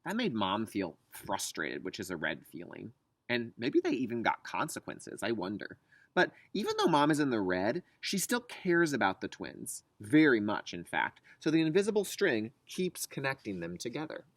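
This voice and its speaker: Expressive male kindergarten teacher, animated pitch. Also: moderate pace, no echo. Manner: simple, instructive, question-posing phrasing for young children. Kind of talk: children's read-aloud and lesson.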